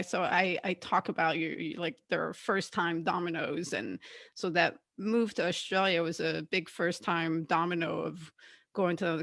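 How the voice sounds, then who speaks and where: Woman host, creaky voice. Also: on the low side, fairly bright tone, dry recording. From Canada. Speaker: podcast host. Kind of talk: casual interview chat.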